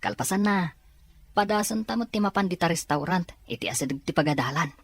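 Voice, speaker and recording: Easygoing young Filipino college buddy, played by a woman; friendly, slightly low female pitch, audio drama. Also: lively intonation, brisk, husky, no echo. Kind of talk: amateur Ilocano audio drama acting.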